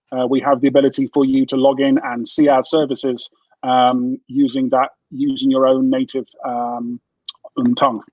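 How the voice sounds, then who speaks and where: A British man from England improvising a mock startup pitch on a video call, hesitant and full of fillers. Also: a little mellow, no room sound. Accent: British English. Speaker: party game participant. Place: home room, video call. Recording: dull dry video call audio.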